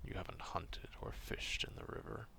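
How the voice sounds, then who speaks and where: A man acting out a gruff, proud, distrustful werewolf in an ASMR roleplay, stern and curt but grudgingly caring, in a gravelly half-whisper. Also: normal speed, sharp tone, close to an everyday voice. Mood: guarded, softening.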